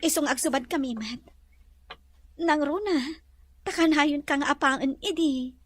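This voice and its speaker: Woman as kind filipino mansion lady in audio drama, high gentle voice, dry close sound. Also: measured pace, no room sound. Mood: kind, insistent.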